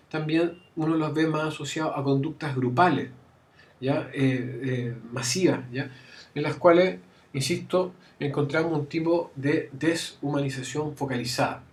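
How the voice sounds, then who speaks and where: A man giving a university lecture, often restarting phrases and checking in with his students. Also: on the high side. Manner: frequent fillers and restarts, checks understanding with "¿ya?". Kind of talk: classroom lecture. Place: classroom.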